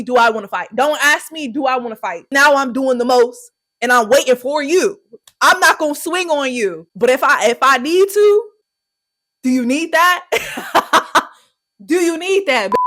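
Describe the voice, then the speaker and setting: A young woman with telling a storytime vlog, brash and profane, loudly acting out the quoted lines. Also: sits high. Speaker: YouTuber.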